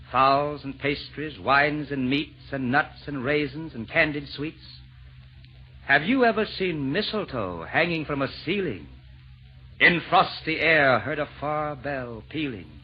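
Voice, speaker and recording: An actor playing a jolly, eloquent Santa Claus, declaiming rhymed verse grandly in 1940s radio drama style. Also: male voice, medium pitch, close to an everyday voice. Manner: grand, declamatory rhyming delivery. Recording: dull vintage 1940s radio recording.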